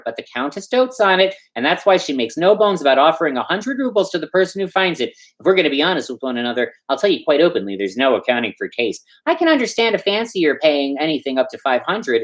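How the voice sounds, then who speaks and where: A man acts out a showy, gossipy manservant from a storybook. He chatters on with opinions, his pitch raised and swinging about freely. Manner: rattling away, gossipy, showy. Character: chatty, opinionated, smartly dressed male footman in noble service.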